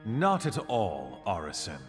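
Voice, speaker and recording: A gracious king in a fantasy video game. He speaks slowly and formally in a low voice with expressive swings, ringing through a long hall echo. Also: faint music behind, exaggerated voice. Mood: solemn, gracious. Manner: stately, measured, formal. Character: proud, gracious, humbled king.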